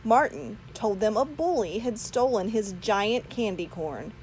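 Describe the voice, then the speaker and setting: A woman narrates a children's storybook at a slow pace. Her pitch moves expressively from phrase to phrase, and there is noise behind her voice. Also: lively intonation, darkish tone, rough recording. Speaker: read-aloud narrator.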